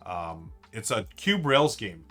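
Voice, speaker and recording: Excited, bright-voiced man streaming. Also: lowish voice, takes it slowly. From United States. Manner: enthusiastic, rambling, many fillers and restarts. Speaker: board game streamer ranking monthly games. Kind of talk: casual live-stream chat.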